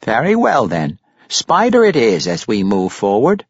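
Man playing a courtly old grandfather, swooping pitch. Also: huge pitch swings, a little slow, slightly rough, lo-fi sound, full character voice. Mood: amused, courtly. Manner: courtly, theatrical, amused, flowery phrasing. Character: jovial, shrewd, courtly older crime-boss grandfather.

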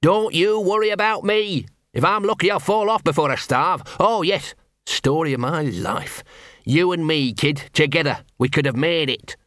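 Man voicing a gruff sarcastic street dog. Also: medium pitch, animated, moderate pace, fairly bright tone, no echo, studio quality. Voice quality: gruff, grumbling, talks through clenched teeth. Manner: sarcastic, self-pitying mutter. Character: scruffy small male street dog, sarcastic grumbler.

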